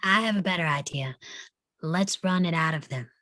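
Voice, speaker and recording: Bold flirtatious frontier woman, low close voice actress. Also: moderate pace, bright, forceful tone, no echo. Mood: flirtatious, bright. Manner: teasing, self-assured. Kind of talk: live table read acting of a western screenplay.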